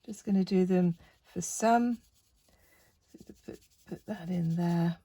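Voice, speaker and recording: A woman with a croaky voice, chatting casually through a painting tutorial and gently encouraging the viewer. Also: on the low side, takes it slowly. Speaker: art tutor.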